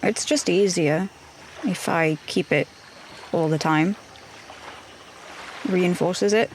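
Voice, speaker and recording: A woman's voice: on the low side, slow pace, slight background noise, low-quality recording. A woman playing a tabletop roleplaying game, talking casually in character as in everyday conversation.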